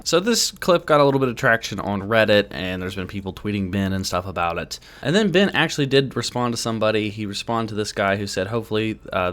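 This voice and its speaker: Low-voiced man giving gaming news. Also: fairly bright tone. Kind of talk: casual gaming news commentary.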